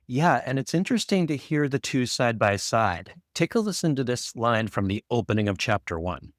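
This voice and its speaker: Animated male podcast co-host. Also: medium pitch, moderate pace, no echo, studio quality. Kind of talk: conversational production discussion.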